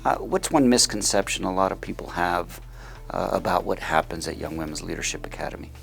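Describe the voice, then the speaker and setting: A man's voice: deep voice, lively intonation, fairly quick, gravelly, faint music behind. A man interviewing a former student, asking long questions with many hesitant fillers.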